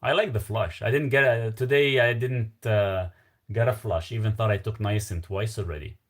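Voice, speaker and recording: A man's voice: on the low side, moderate pace. A man with a Lebanese accent hosting a casual live stream, reading viewer questions aloud and answering in a loose, rambling way. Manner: loose and rambling, trailing off with fillers.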